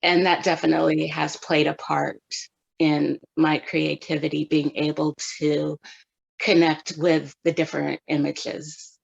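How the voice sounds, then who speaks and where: A middle-aged woman from California speaks slowly and unhurriedly, with a gravelly, creaky texture and a slightly low voice. She answers as an interview guest, thoughtful and measured, pausing as she thinks. The recording is a little dull and lo-fi. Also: flat delivery. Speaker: guest photographer.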